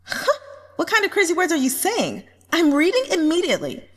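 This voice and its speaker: A woman's voice: very high-pitched, huge pitch swings, fairly quick, fairly bright tone, long reverb tail, pitched above the performer's own voice, full character voice. A woman voicing gushing young female readers of an online novel, exclaiming and gossiping in an audiobook. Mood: excited, astonished.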